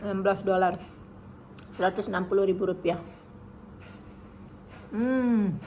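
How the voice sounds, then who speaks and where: A woman in her thirties from Indonesia, chatting casually through a food review and humming while she eats, filmed in a bright living room by a camera on the table. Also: medium pitch, measured pace, a little hiss or hum. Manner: chatty, savoring, hums while eating. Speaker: food vlogger. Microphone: camera on table in front.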